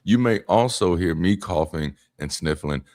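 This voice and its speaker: Low-voiced host guy, jokey and laid back, dry close sound. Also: lively intonation, normal speed, darkish tone, dry recording. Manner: playful, teasing, laughs often. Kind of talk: casual livestream chat.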